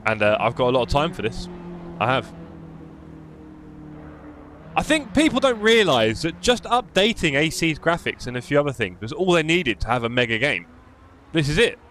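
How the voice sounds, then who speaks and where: A man's voice: fairly high pitch, big pitch swings, normal speed, pauses often, a bit bright, a little hiss or hum. A man with a London accent chatting and joking with his live stream chat while playing a racing game. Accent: London English. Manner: chatty, jokey, reads chat aloud.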